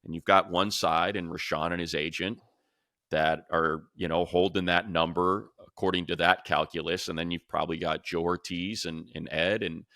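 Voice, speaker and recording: Male American sports co-host, very low voice with a forceful edge, casual and emphatic delivery. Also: steady tone, moderate pace, no room sound, clean studio sound. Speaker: sports podcast co-host and commentator.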